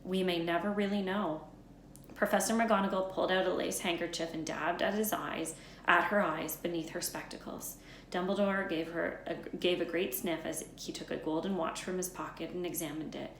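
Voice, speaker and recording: An echoey room surrounds a woman with a slightly low voice. She narrates a storybook expressively, as a read-aloud storyteller, with faint noise in the recording. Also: fairly even intonation, brisk. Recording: echoey room with background hiss.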